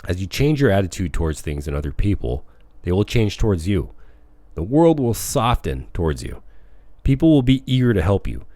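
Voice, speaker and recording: Deep-voiced man reading a self-help audiobook. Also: big pitch swings, normal speed, mellow, dry recording. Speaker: audiobook reader.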